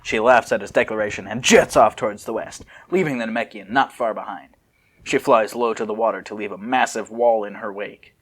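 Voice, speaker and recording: A man's voice: on the low side, brisk. A man narrating a story in an amateur read-aloud style, with casual joking asides.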